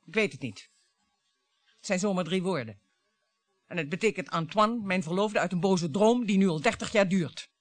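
A woman's voice: on the low side, moderate pace, pauses often, no room sound, natural voice. An actress playing a bitter, mocking wife in her fifties in a radio play, with a theatrical way of talking. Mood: scornful. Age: 50s. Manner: theatrical, mocking, swinging between coaxing and scorn. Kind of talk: radio drama acting.

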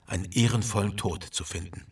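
Ardent prussian officer telling war memories, husky voice, bit off the mic, dramatic radio play. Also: male voice, low voice, brisk, far from the mic, full character voice. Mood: earnest. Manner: dramatic, acting out quoted exchanges. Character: ardent male Prussian officer recalling his wartime youth.

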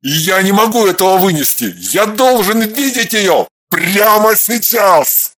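A jealous, hot-tempered husband, played by a male narrator, barks and growls his lines. His voice is pushed loud, sits high for a man and swings widely in pitch. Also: animated, unhurried, exaggerated voice. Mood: furious. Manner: shouting, snapping, growling.

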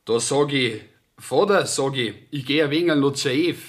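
A man's voice: mid-range voice, normal speed, natural voice. An actor playing a plain-spoken, stubborn young peasant in a Bavarian dialect radio play, telling his story in an artless, rambling rustic way. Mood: artless, earnest.

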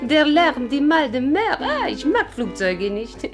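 A woman's voice: high voice, big pitch swings, unhurried, soft background music. An actress playing a haughty, temperamental young Frenchwoman with a French accent in a 1950s radio play, speaking imperiously and dramatically. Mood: complaining.

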